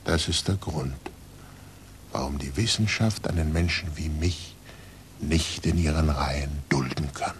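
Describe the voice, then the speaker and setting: An aging, blind man of science in a 1940s radio play. His voice is low and very unhurried, solemn and weary yet full of quiet conviction. The old recording carries steady noise and rumble. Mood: bitter resignation. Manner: measured, deliberate, solemn. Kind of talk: 1940s German radio drama acting.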